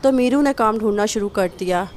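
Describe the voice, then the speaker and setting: A woman's voice: medium pitch, brisk, darkish tone, slight background noise. A woman narrator reading a scripted children's story.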